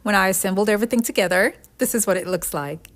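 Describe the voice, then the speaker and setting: A woman's voice: medium pitch, quick pace. A woman giving an explanatory, step-by-step voice-over for a craft tutorial. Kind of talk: scripted DIY tutorial voice-over narration. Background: background music.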